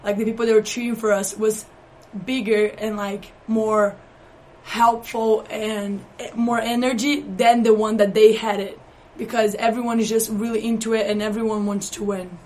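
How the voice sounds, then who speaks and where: A woman's voice: medium pitch, level tone, normal speed, a little mellow, poor audio. A young woman with a Brazilian accent, a college volleyball player answering interview questions earnestly in slightly non-native phrasing. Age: young adult.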